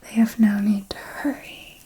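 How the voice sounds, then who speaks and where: A woman acts out an elegant vampire mentor for an ASMR roleplay. She whispers intimately at a very slow, deliberate pace, close to the mic. Her phrasing is formal, with a possessive fondness and calm command. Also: medium pitch, lightly acted, low-quality recording. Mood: calm, instructive. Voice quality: breathy whisper. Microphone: close microphone.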